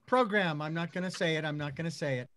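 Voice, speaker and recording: A man's voice: a little high, fast talker, a bit bright. A man working as a radio producer, talking informally and jokily off air. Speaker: radio station producer and engineer. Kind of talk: casual off-air chat.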